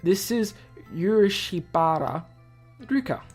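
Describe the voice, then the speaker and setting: A woman's voice: mid-range voice, lively intonation, slow, a little mellow, slightly rough. A woman doing a casual let's play, reading visual novel text aloud and breaking in with chatty comments of her own. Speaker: gaming video host. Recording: game music behind the voice. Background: video game music.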